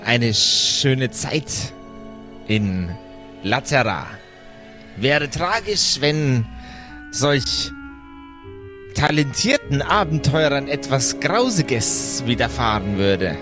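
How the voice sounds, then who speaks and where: A man's voice: medium pitch, lively intonation, a bit bright, faint music behind. A man voicing a cobra-headed crime boss in a roleplay audio game, speaking formally with polite, veiled menace. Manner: slow, formal, veiled threats.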